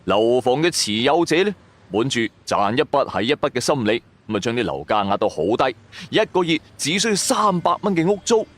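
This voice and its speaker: A man's voice: mid-range voice, very animated, brisk, a bit bright. A male storyteller delivers scripted first-person drama narration in a mid-range voice. His intonation rises and falls widely, and his delivery is colloquial and built for suspense.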